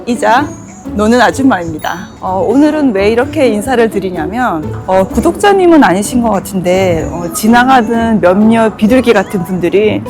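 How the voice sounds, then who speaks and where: Chatty middle-aged Busan woman, lively voice. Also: medium pitch, normal speed. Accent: Busan Gyeongsang dialect. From Busan, South Korea. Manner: chatty, exclaims often, slips into dialect when excited. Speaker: travel vlogger. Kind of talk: casual vlog chat. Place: outdoors by a river and in a convenience store. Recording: background music throughout.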